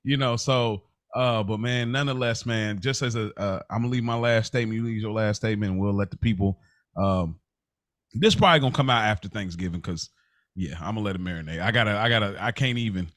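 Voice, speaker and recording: American male podcast co-host, lowish voice, dry close sound, emphatic and informal. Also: fast talker, fairly bright tone, dry recording. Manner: emphatic, earnest, informal slangy speech. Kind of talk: casual podcast chat.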